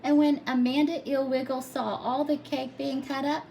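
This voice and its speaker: A woman's voice: high-pitched, level tone, moderate pace, gravelly, warm tone, a little hiss or hum. A woman reading a children's storybook aloud expressively, in the style of a preschool teacher.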